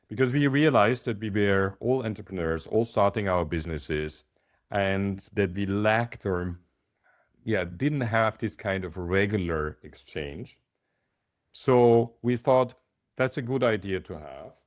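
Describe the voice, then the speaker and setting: Deep-voiced Austrian man, German accent. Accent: German-accented English. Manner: conversational, with fillers and asides. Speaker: workshop host. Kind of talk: online talk presentation.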